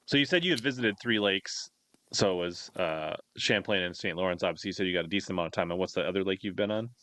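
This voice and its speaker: Male livestream host, deep register, dry sound, informal rambling interview style. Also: fast, pushed and bright, no echo. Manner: rambling, reads out viewer questions. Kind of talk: casual live-stream interview chat.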